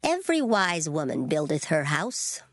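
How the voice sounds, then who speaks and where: A woman giving a dramatized reading of scripture in formal old English, measured and solemn. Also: sits low, big pitch swings, dry recording. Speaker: scripture reader. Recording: loud music behind the voice. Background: music.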